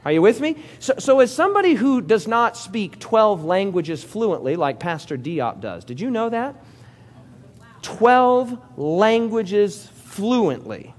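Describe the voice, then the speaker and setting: A male pastor preaching to a congregation in a church hall. His voice sits high and swings widely in pitch. He keeps turning to the room with questions and jokes. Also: takes it slowly. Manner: lively, interactive preaching with audience questions and jokes. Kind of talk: sermon.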